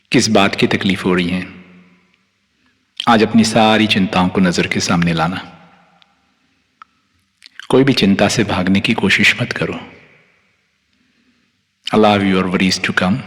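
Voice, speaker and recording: A man with an Indian accent guiding a meditation as a teacher, calm, unhurried and soothing, leaving long pauses between phrases. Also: low-pitched. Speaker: meditation teacher, Reiki master guiding yoga nidra. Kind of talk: guided meditation, instructing listeners. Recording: long hall-like reverb on the voice.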